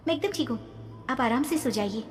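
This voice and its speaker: A woman's voice: fairly high pitch, animated, fairly quick, pitched above the performer's own voice, natural voice. A woman voicing a sweet, plaintive young niece in a horror story. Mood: reassuring. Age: young adult. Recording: long reverb, music behind.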